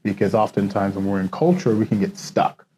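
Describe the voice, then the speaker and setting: A man with a low, mellow voice talks as an interview guest, thinking aloud in a loose, reflective way and leaning on "you know" as he goes. A faint hum of room noise sits under him. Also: lively intonation, brisk. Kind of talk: casual conversational interview.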